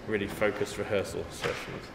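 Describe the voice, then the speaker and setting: English guy, composer, lowish voice, chatting about his piece in a big echoey hall with music going behind. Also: flat delivery, brisk, creaky, heavy background noise. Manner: thoughtful, self-deprecating, restarts often. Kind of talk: casual interview.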